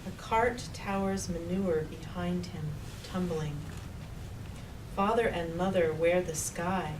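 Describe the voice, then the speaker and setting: Woman reading a poem out, slow with pauses, bit low, noisy recording. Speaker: poet reading a colleague's poem. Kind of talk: poetry reading to an audience. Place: public library reading room. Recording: distant mic, noisy with rumble.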